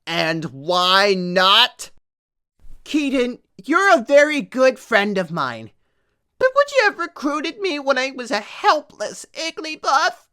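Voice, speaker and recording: The guildmaster is a high, bouncy, singsong creature, played by a woman, who talks slowly and brightly, teasing everyone like a delighted child. In this fan comic dub he is playful and bossy, swooping up and down as he speaks. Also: big pitch swings, heavily acted.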